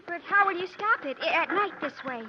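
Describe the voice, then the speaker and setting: A proud, frightened young woman in a golden-age radio play. Her voice is high and genteel, with a guarded, dramatic delivery. The old broadcast sounds dull and slightly noisy. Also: lively intonation, a little fast. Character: frightened, proud, mistrustful young lady on the run. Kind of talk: 1950s radio comedy-drama acting.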